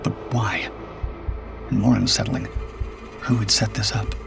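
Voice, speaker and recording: A man narrating a scripted first-person suspense story in a measured, grave storytelling manner. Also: fairly low pitch, creaky. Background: background music.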